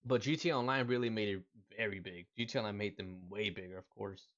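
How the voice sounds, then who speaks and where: Excitable man reacting, dull lo-fi sound. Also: lowish voice, fast talker. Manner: excitable, talks over the clip, says "dude" often. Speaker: gaming reaction host. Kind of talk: casual live reaction commentary.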